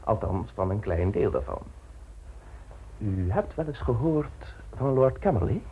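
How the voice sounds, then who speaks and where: An actor playing a pompous, broke, out-of-work stage actor in a radio drama, speaking in a theatrical, grandiloquent manner. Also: male voice, fairly low pitch, very animated, unhurried, mellow, a little hiss or hum, rough recording.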